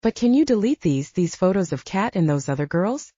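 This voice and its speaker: Woman as gruff, caring soldier man, mellow. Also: fairly low pitch, very animated, a little fast, dry recording, dull audio. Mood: alarmed, angry.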